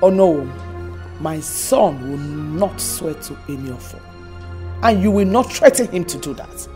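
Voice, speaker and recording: A woman's voice: low voice, unhurried, faint music behind. An actress playing a cool, scheming royal mother who taunts her rivals. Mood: taunting. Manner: calm, taunting, proverb-quoting.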